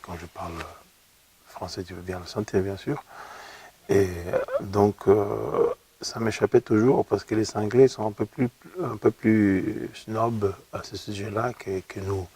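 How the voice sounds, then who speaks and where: A man's voice: fairly low pitch, fairly even intonation, a little slow, warm tone, a little hiss or hum. A middle-aged man from Argentina with an Argentine Spanish accent, a guest speaking thoughtfully in an interview and often repeating words as he searches for them. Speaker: opera singer guest. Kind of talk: relaxed interview talk. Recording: voice from a distant mic.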